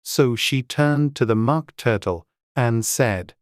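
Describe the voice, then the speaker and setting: Really deep-voiced lady reading a storybook audiobook, dry sound no room. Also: slow, dry recording. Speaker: audiobook narrator. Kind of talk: scripted storybook narration.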